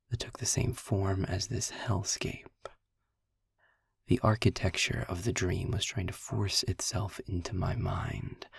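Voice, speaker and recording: A world-weary, sarcastic male demon, played by a man, murmurs close to the listener in an audio roleplay. His voice is very breathy and husky, with slow, wry, wordy phrasing. The sound is dry and intimate. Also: sits low, dry recording, full character voice. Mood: measured, explanatory. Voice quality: breathy, husky, gravelly near-whisper. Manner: sardonic, deliberate, wordy. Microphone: close mic, dry.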